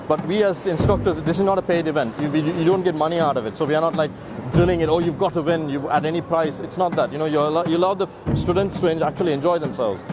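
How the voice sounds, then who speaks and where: A man in his thirties, a martial arts instructor, talking quickly in an event interview and repeating words as he starts. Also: a little high, steady tone, noisy background. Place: large sports hall, indoors. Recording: loud music under the voice.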